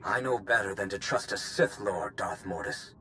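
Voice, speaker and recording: A man's voice: fairly low pitch, expressive, moderate pace, pushed and bright, slight background noise. A man playing an intense, vengeful conspirator in a dramatic video game cutscene. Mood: wary, probing. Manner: intense, resolute. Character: vengeful, zealous male conspirator villain.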